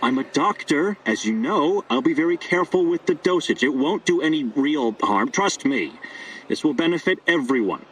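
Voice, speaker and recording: A man's voice: fairly high pitch, expressive, normal speed, a bit bright, far from the mic. An actor playing a scheming, persuasive male doctor in a video game, earnest and wheedling with hesitant turns. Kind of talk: video game dialogue acting.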